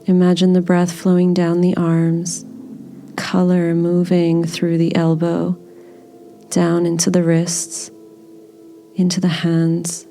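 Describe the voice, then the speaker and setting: Low, slow, mellow woman guiding meditation. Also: completely flat delivery, soft background music. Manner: gentle, soothing, unhurried. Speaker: meditation guide. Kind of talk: guided body scan meditation.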